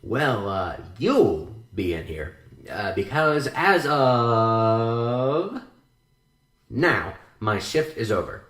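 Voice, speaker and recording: An actor playing a flippant, jittery coroner in a radio drama, wisecracking and hesitant. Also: male voice, mid-range voice, sing-song, drawn-out words, a bit bright, exaggerated voice. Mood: jokey, uneasy. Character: flippant, jittery male coroner eager to leave. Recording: echoey room.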